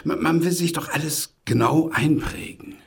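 Husky, gravelly old security guard. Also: male voice, on the high side, moderate pace, exaggerated voice. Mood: wistful, hesitant. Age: older. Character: older male racetrack security guard near retirement, wistful, suspicious. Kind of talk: naturalistic radio drama acting.

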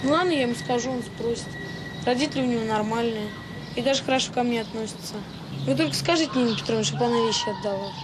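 High-voiced, stubborn orphanage girl, played by a woman. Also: quick pace, noisy background, lightly acted. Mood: earnest, insistent. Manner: blunt, earnest, colloquial. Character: frank, stubborn, fair-minded orphanage schoolgirl. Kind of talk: naturalistic radio drama acting.

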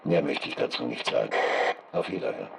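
An actor in a radio play as a formal police inspector giving a guarded, clipped official statement. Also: male voice, extremely low pitch, expressive, brisk, creaky, slightly rough, exaggerated voice.

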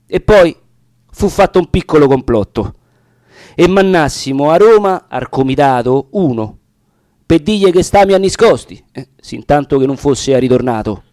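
A man's voice: a little high, animated, moderate pace, a little mellow, no echo. A man with a Roman accent reciting dialect verse for radio in a theatrical, impassioned storytelling manner. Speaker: reader of dramatic verse.

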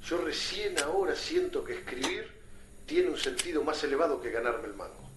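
A thoughtful, idealistic Argentine historian in a radio drama. He speaks articulately in a slightly high voice, sounding echoey and distant amid clear noise. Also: big pitch swings, normal speed. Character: idealistic male historian and writer among fighters. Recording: echoey, distant mic, noisy background.

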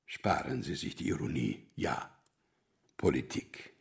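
An actor in a radio drama playing a stern, impatient Cold War scientist, curt and husky. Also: male voice, rumbling low, lively intonation, measured pace, heavily acted. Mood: impatient, grim.

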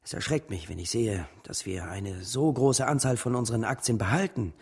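An actor playing a cautious, principled business partner in a radio drama, objecting in an earnest, measured way. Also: male voice, lowish voice, a little mellow, husky. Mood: alarmed, firm. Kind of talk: German radio drama acting.

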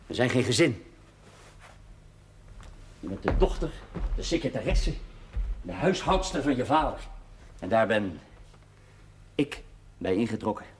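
A sardonic dutch husband, brusque and evasive, old seventies tv drama sound with some noise. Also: male voice, medium pitch. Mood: defensive, bitter. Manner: dry, brusque, deflecting. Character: evasive, sardonic husband and new father in 1919. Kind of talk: naturalistic television drama acting. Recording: noisy 1970s television soundtrack.

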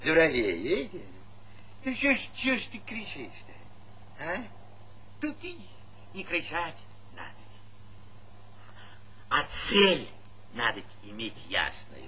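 An actor playing a talkative, teasing old village pensioner with folksy speech in a stage comedy. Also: male voice, a little high, wildly swooping pitch, slow pace, long pauses, bright, forceful tone, full character voice. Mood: preachy, lively. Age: older. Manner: garrulous, folksy, needling, chuckling interjections. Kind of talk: Soviet stage comedy acting. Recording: noisy 1970s theatre recording.

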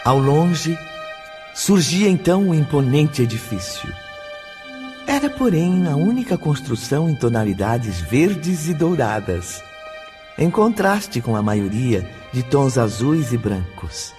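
A man narrating a scripted radio drama in a formal, expressive manner. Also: mid-range voice, takes it slowly. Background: clear background music.

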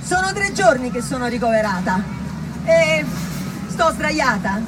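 A woman's voice: high-pitched, very animated, unhurried, recorded from a distance, over a noisy crowd, heavy background noise. A woman playing a hopeful, patient pregnant woman in an earnest theatrical monologue. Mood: resigned, trusting.

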